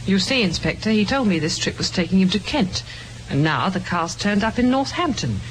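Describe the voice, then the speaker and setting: A woman's voice: medium pitch, sing-song, a little fast, faint music behind, lightly acted. A woman playing a worried, loyal young wife and mother in an old-time radio drama, speaking earnestly and wistfully. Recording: faintly noisy old radio recording.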